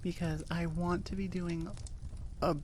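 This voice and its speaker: A woman's voice: lowish voice, flat delivery, measured pace, darkish tone. A woman talks casually to the listeners of her audio channel. Her delivery is informal and rambling, with a self-effacing manner. A faint noise sits behind the voice. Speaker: audio roleplay creator. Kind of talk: casual, chatty aside to listeners.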